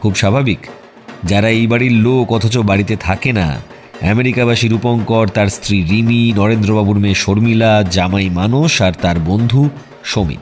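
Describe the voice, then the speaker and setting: A man playing a composed, detached private detective who talks in a measured way in an audio drama. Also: on the low side, a little mellow, natural voice. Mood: reasoning. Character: calm, methodical male private detective. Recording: faint music behind the voice.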